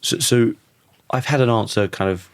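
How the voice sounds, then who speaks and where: A man in his thirties with a croaky voice, a podcast guest talking thoughtfully and often restarting his sentences. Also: mid-range voice, normal speed, dry recording. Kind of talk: reflective conversational interview.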